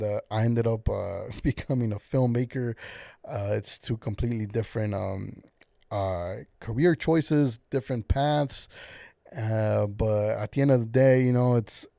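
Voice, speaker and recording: A man's voice: lowish voice, vocal fry, dark tone, dry recording, studio quality. A young man hosting his own podcast, talking alone in a casual, encouraging way that rambles with lots of filler words. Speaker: podcast host. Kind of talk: casual solo podcast monologue.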